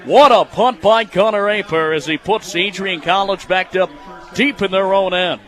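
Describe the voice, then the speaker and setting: A young American man calls football live on the radio. His voice is high and bright, with an excitable, forceful delivery that pushes up for the action. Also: normal speed. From United States, Michigan. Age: 20s. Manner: excitable, raising his voice on plays. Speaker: radio play-by-play announcer.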